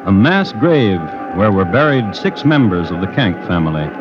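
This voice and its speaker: A man narrates a vintage radio crime story in a theatrical, deliberate way. His low voice moves expressively over prominent music. The recording sounds dull and dated. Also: very animated. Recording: muffled vintage radio broadcast.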